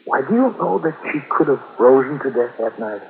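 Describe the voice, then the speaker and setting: Earnest doctor on thin old radio. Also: male voice, mid-range voice, very animated, creaky, narrow, tinny audio. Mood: agitated, pleading. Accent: American. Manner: formal, urgent, emphatic, halting. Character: earnest, alarmed male doctor convinced of a murder plot. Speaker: radio actor. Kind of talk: old-time radio drama acting. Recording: thin, band-limited old radio recording.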